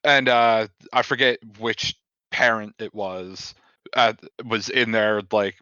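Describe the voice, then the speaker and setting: Bright-voiced man on a slightly dull mic. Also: lowish voice, fairly even intonation, slow, clean tone, no echo. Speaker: podcast co-host. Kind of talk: casual film discussion chat. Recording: slightly dull, lo-fi microphone sound.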